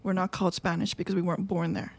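Co-host, woman, gravelly voice, explanatory. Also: lowish voice, little pitch movement, fast talker. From Venezuela. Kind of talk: casual chat.

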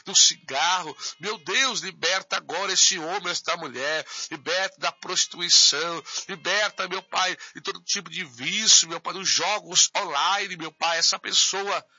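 Man, pastor, fervent repetitive prayer, bright high voice, dull radio sound. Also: unhurried, hardly any pauses, breathy edge, no room sound. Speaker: pastor leading intercessory prayer.

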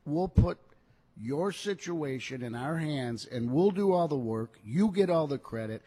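A man giving an unscripted live sales pitch as a car dealer, folksy and repeating phrases for emphasis. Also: animated, unhurried, warm tone, breathy edge. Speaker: car dealer, radio show regular.

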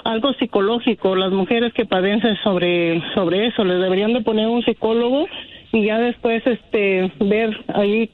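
A woman's voice: medium pitch, steady tone, moderate pace, a little hiss or hum. Insistent woman caller on a tinny phone line. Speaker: caller to a talk show. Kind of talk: spontaneous personal opinion. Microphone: telephone call.

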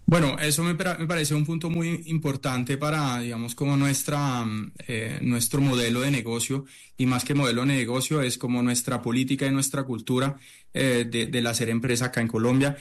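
A middle-aged man from Italy talks through his answers in a halting, searching way. He pauses often on fillers and restarts his sentences, with a voice of ordinary pitch for a man. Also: fairly even intonation. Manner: hesitant, many fillers and restarts. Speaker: chef and restaurant owner. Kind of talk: interview answers.

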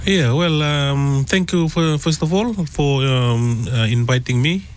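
A man's voice: mid-range voice, a little slow. A middle-aged man from Fiji, a guest talking in a radio interview, hesitant and full of fillers and restarts. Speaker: guest author.

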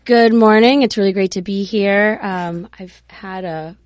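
A woman speaking as a guest on a radio panel, hoarse and strained because she has lost her voice. She talks in a friendly way, and the studio sound is close and dry. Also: medium pitch, a little slow, no room sound. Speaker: panel guest, nonprofit director.

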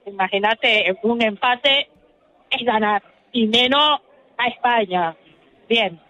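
A Cape Verdean woman in her late forties answers a radio interview in short, plain phrases. She speaks with a Cape Verdean accent and a bright tone. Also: on the high side, takes it slowly, poor audio. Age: late 40s. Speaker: interviewee, fan.

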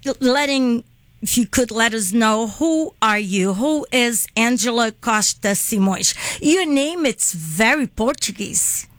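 Woman host, slow speech, dry studio voice, unhurried, restarts. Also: a little high, animated, no echo. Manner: unhurried, warm laughs, small restarts. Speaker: radio host. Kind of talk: radio interview.

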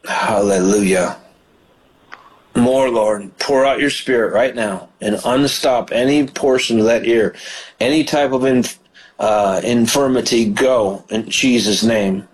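A man's voice: mid-range voice, slow pace, poor audio. Fervent man praying on live radio. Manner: fervent praying, frequent hallelujahs. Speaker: radio ministry host and pastor. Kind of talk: live call-in prayer show.

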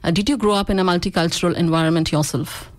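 A woman hosting a radio interview show in a studio, welcoming and chatty, with many uhs and quick yeps. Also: fairly low pitch, no echo. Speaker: radio host.

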